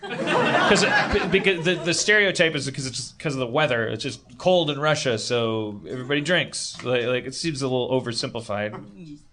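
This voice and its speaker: A man's voice: medium pitch, sing-song, normal speed, a crowd in the background. An American man hosting a comedy show, chatting with guests in a rambling, stammering, stop-start way.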